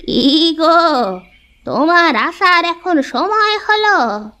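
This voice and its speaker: A woman voicing a crotchety old forest granny in a cartoon, with a scolding, drawn-out way of talking. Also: very high-pitched, big pitch swings, bright, forceful tone, voice pushed up, full character voice. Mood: grumbling.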